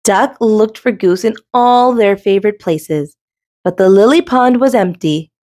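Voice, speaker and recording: A woman's voice: medium pitch, measured pace, warm tone, slight background noise. A woman narrating a children's story expressively as a storybook reader.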